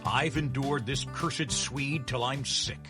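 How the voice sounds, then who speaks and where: A man's voice: mid-range voice, steady tone, takes it slowly, music in the background, close to an everyday voice. A man voicing a bold, fed-up old man, emphatic and commanding, in a dramatic story reading.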